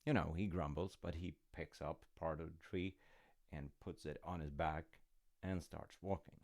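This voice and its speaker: A man playing an easygoing older dad who tells his child a folktale in an intimate, musing way, with gently wry, rambling asides. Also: steady tone, normal speed, no echo, clean studio sound. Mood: wry storytelling. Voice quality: low, gravelly. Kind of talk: intimate roleplay storytelling with casual rambling asides.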